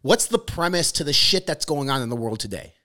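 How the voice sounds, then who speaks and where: A man's voice: on the high side, animated, a little fast, bright, forceful tone. A man hosting a podcast, talking loosely and casually and swearing now and then. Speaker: podcast host.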